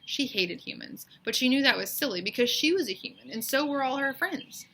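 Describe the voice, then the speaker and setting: A woman reading a children's story aloud as a teacher, in expressive narration. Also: high voice, brisk, a little hiss or hum.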